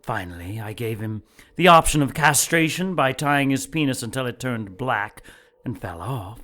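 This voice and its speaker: A man playing a cruel, exacting cult master in an audio drama, speaking in a measured, formal, threatening way. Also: mid-range voice, expressive, pushed and bright. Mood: coldly menacing.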